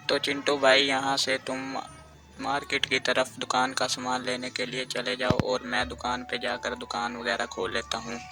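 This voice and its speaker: Earnest young sweet-shop brother for a cartoon tale, voiced by a man, plain explanatory delivery, average pitch. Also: completely flat delivery, moderate pace, lightly acted. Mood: earnest, brotherly. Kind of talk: scripted cartoon dialogue. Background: music.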